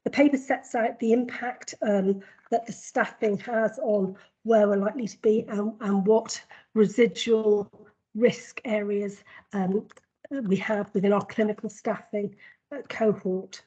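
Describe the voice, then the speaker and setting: A woman with a cold speaks in a husky, breathy voice, giving a formal report at a board meeting. She talks in a measured way, with many ums and restarts. The audio is dull and lo-fi. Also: mid-range voice, fairly even intonation, warm tone. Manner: measured, frequent ums and repeated words. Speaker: health board executive presenting a report. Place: board meeting, video call.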